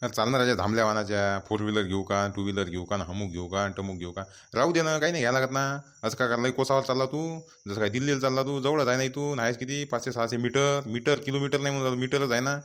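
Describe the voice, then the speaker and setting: A man's voice: medium pitch, fast, a bit bright, clean tone, natural voice. A man playing an impatient, grumbling village gambler in a comedy cartoon, with a colloquial, nagging Varhadi Marathi accent from Vidarbha. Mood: impatient, exasperated.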